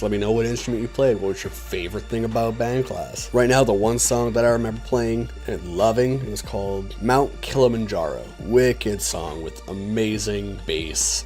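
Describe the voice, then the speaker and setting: A man's voice: on the low side, a little mellow. A young man telling personal stories in a casual, rambling, laid-back way, swearing freely. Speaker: storyteller on his own video channel. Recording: voice over background music. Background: music.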